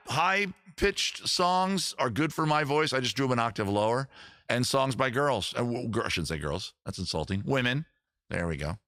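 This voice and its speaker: A man's voice: lowish voice, pushed and bright, no echo, studio quality. A man hosting a live stream, chatting casually between songs in a rambling, jokey, self-mocking way, a little tipsy from drinking. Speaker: live-stream host and singer. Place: room at home. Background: faint guitar.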